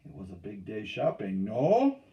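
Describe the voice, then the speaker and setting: A man voicing a mocking voyageur in a storybook reading, with loud, sardonic catcalls. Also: fairly low pitch, huge pitch swings, normal speed, distant mic, lo-fi sound. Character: mocking male voyageur, normally quiet, jeering.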